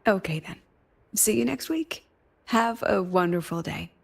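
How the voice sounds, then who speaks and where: A woman's voice: mid-range voice, animated, unhurried, creaky. A woman playing a weary, wry academy president in a video game, polite and diplomatic with a dry edge. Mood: polite, businesslike. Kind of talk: video game character dialogue. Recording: background music under the voice.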